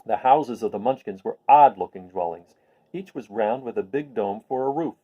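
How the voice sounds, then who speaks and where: Animated male storyteller, muffled recording. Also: medium pitch, normal speed, husky. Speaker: read-aloud host and storyteller. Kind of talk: expressive storybook read-aloud with informal introduction.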